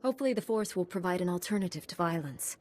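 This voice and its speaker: An actress playing a composed, dutiful female knight in a video game, measured and matter-of-fact, with faint background noise. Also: fairly low pitch, lively intonation, a little mellow, natural voice. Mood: composed, resolute.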